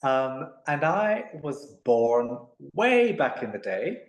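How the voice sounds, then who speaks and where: A man from Africa, answering interview questions in a measured, polite way with many pauses and ums. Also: mid-range voice, big pitch swings. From South Africa. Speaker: guest, amateur tennis player. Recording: echoey room.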